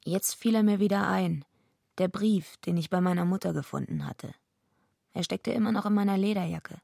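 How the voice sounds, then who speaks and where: An actress playing a blunt, defiant, streetwise young female wrestler with a husky, gravelly voice in a radio drama. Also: mid-range voice, moderate pace, dark tone, no room sound, clean studio sound. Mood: wry, narrating. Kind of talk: radio drama acting and first-person narration.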